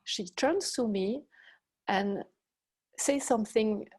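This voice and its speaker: A woman's voice: a little high, a little mellow, slightly rough. Reflective woman with a Belgian French accent. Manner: reflective, measured, with pauses and self-corrections. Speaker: professor giving a talk. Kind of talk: personal-anecdote lecture.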